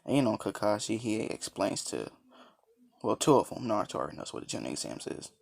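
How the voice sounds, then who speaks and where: A man with a raspy, just-woken-up voice telling a fan story in a casual, rambling way. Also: lowish voice, sing-song, fast. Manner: rambling, laid-back, lots of fillers. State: just woke up, dry voice. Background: background music.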